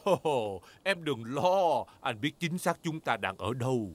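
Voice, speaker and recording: Hearty, bumbling cartoon daddy pig. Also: male voice, medium pitch, very animated, slow pace, heavy background noise. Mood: confident, blustering. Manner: hearty, blustering self-assurance. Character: cheerful, confident, bumbling middle-aged father pig. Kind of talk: dubbed children's cartoon acting.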